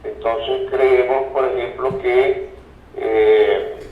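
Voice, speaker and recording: A man's voice: medium pitch, steady tone. A man speaks as a professor being interviewed, repeating words as he looks for the next phrase. The sound is roomy and somewhat dull, picked up at a distance from the microphone. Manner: halting, repeats words while searching for phrases. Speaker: interview guest, university professor and researcher. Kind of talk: interview, explaining political and academic ideas.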